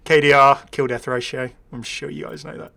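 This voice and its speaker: A man's voice: mid-range voice, steady tone, brisk, gravelly, bright, forceful tone, a little hiss or hum. Chatty man doing game commentary. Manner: chatty, enthusiastic, readily amused. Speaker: YouTube gaming creator. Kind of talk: casual game update commentary.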